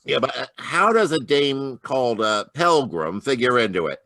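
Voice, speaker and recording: A man's voice: medium pitch, big pitch swings, normal speed, pushed and bright, no echo. A man playing a plain-spoken, slightly hesitant police lieutenant in an old-time radio detective drama. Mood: puzzled, businesslike. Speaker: actor. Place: live online video call.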